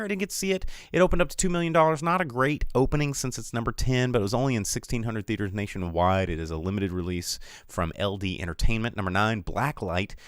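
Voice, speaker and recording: A man's voice: on the low side, fairly bright tone, clean tone, no echo, studio quality. An American man hosting a casual solo show, giving irreverent, sardonic, rambling commentary and reading out rankings. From United States. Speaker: movie podcast host.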